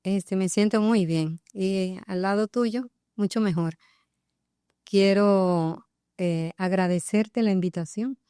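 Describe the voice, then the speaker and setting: A middle-aged woman with a Colombian Caribbean accent, talking casually as an interview guest in a measured, hesitant way with pauses between phrases. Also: mid-range voice, dark tone, clear, resonant tone, no echo, studio quality. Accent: Colombian Caribbean coast. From Barranquilla, Colombia. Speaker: interview guest, physician and professor. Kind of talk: casual podcast conversation.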